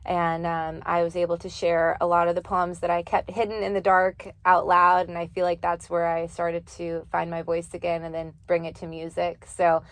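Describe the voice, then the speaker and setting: A young woman speaks in an earnest, casual way, with filler words and quick laughs between thoughts. Her voice sits a little low. The sound is dry and close, with no room around it. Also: completely flat delivery, no room sound. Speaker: singer and poet guest. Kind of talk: casual interview talk. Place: office studio.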